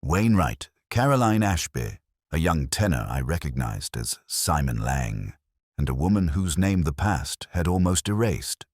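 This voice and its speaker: A man playing a world-weary older detective inspector, narrating a mystery audio drama in a measured, literary, brooding manner. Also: very deep voice, very animated, husky, no room sound, studio quality, full character voice.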